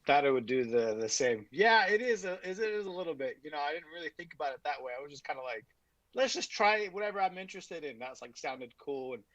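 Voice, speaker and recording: A man's voice: on the high side, animated, fast talker, a bit bright, dry recording. Rambling man on a dull dry line. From Utah, USA. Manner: rambling, many fillers and restarts. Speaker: podcast guest. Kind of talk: casual interview talk.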